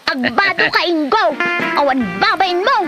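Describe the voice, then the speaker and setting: Scolding, fed-up Ilocano wife played by a woman, comedy drama, high-pitched, reproachful delivery. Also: lively intonation, moderate pace, over loud music. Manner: nagging, reproachful. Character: exasperated, scolding wife of a lazy drunkard.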